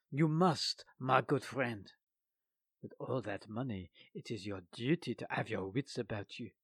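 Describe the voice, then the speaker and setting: A man's voice: medium pitch, darkish tone, breathy edge, no room sound. A man voicing a cunning old male French ex-soldier in a storybook reading, coaxing and falsely friendly.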